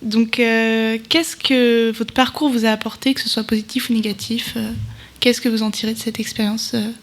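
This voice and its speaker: Young woman hosting, casual and brisk. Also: a little high, level tone. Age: young adult. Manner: casual, brisk, laughs along. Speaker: host. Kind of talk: live student radio interview.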